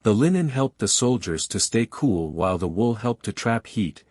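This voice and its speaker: A man reading a short informational passage aloud as a scripted narrator, measured and neutral. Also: lowish voice, expressive, a little mellow, no echo, studio quality. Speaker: narrator of exam practice passages.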